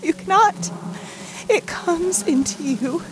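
A woman's voice: extremely high pitch, animated, slow, noisy background, heavily acted. A woman playing an ominous, commanding prophetic voice in audio horror drama, solemn and incantatory with archaic phrasing.

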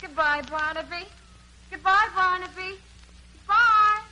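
Bright, high-voiced Puritan sweetheart. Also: female voice, measured pace, lo-fi sound, a little hiss or hum, full character voice. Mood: calling farewell. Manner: earnest, archaic speech. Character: tender, wistful young Puritan sweetheart. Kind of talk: theatrical 1940s radio acting. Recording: old 1940s radio broadcast.

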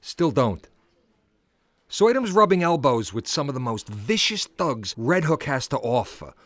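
A man's voice: mid-range voice, sing-song, a little slow, fairly bright tone, no room sound. A man playing a blunt, authoritative police captain in a radio drama. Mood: gruff, businesslike.

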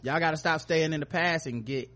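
A man's voice: on the high side, fairly quick, heavy background noise. A man hosting a podcast in casual, joking banter with informal, profane language. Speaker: podcast host.

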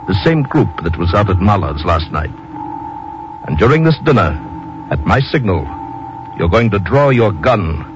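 A scheming general with a low, widely swinging voice. He gives orders deliberately, like a hypnotist in command. It is a 1950s radio thriller on a muffled recording with music behind. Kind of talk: 1950s radio drama acting.

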